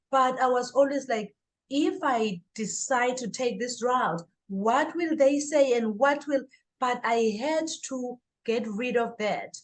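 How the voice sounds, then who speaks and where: A woman with a moderately high voice gives conversational interview answers. Her delivery is halting, and she often breaks off to rephrase what she is saying. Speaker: interview guest, author and singer.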